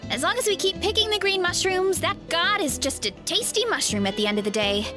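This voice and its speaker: A woman voicing a cheerful young woman in a game who talks earnestly with soft hesitations. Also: extremely high pitch, expressive, brisk. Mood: easygoing. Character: cheerful young woman with a big appetite, upbeat and sincere. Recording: game audio with music behind.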